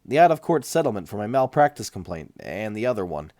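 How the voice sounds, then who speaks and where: A man's voice: lowish voice, sing-song, quick pace, darkish tone, dry recording, studio quality. Man playing a blunt, tough, demanding patient. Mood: hard bargaining. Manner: blunt, commanding, threatening. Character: tough, demanding, sardonic escaped male patient. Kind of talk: audiobook character dialogue.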